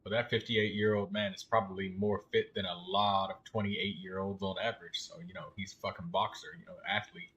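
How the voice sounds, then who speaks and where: A man with a fairly low voice streams a game and chats off the cuff. He rambles bluntly in loose slang, like someone thinking aloud. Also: level tone, fairly quick, few pauses, fairly bright tone, dull audio, a little hiss or hum. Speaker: gaming streamer. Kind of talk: casual live commentary over gameplay.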